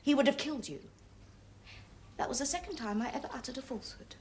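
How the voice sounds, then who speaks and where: A woman's voice: high-pitched, animated, fast talker, a little hiss or hum. A woman playing a proud, romantic young lady in a stage comedy, with a declamatory, emotional delivery. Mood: earnest, pleading. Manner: declamatory, noble posturing, emotional swings.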